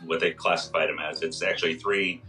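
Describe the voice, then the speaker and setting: A hoarse man in his fifties, a pharmacist explaining health topics on a video call, step by step with many fillers. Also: deep voice, fairly even intonation, brisk, bright, forceful tone, recorded from a distance, faint music behind. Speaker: pharmacist guest on a radio show. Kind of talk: casual health talk. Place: small room indoors.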